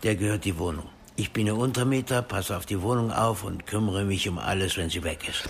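An actor playing a blunt, streetwise buddy of the hero in a radio crime drama, talking in a slangy, colloquial way. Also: male voice, sits low, fairly quick, creaky, a little hiss or hum. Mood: easygoing.

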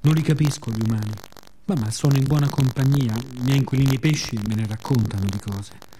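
A man's voice: mid-range voice, mellow, slight background noise, close to an everyday voice, rough recording. A man voicing the sea in a radio monologue as a playful, boastful being that muses and mocks humans, drawing out words. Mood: playful, musing. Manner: playful, musing, drawn-out words, sound imitations.

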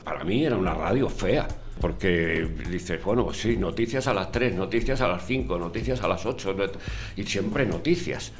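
An older man speaks slowly and thoughtfully, pausing often as he answers interview questions. Faint music plays quietly behind him. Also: low voice, animated. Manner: unhurried, hesitant with many fillers. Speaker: interviewee, community radio veteran.

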